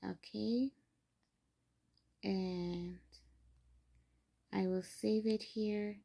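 A woman's voice: medium pitch, fairly even intonation, very slow, gravelly, dark tone. A young adult woman from Ghana, hosting a screen-recorded phone tutorial and explaining each step patiently. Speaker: tutorial host.

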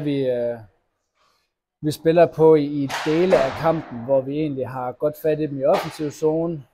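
A young man, a hockey player, answering post-match interview questions in a halting, disappointed way with many fillers and restarts, recorded indoors at an arena press wall. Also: medium pitch, mellow, a little hiss or hum. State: disappointed after a loss.

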